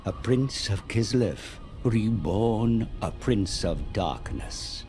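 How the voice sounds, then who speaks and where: Slow, portentous seer. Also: male voice, on the low side, expressive, mellow, faint music behind. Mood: portentous, solemn. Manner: grave, portentous, persuasive. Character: cunning, portentous male seer advising dragons and demons. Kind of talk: dramatic video game cinematic narration.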